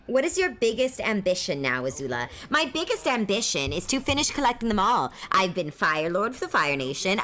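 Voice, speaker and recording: A woman's voice: medium pitch, pushed and bright, slight background noise. A woman playing a haughty, teasing young princess who needles and mocks in improvised comedic banter. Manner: condescending, mocking.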